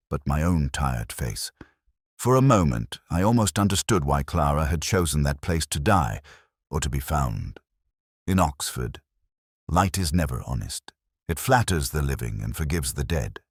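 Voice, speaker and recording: Very deep-voiced man narrating a mystery. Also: no room sound, studio quality. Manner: measured and reflective. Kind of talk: scripted first-person detective narration.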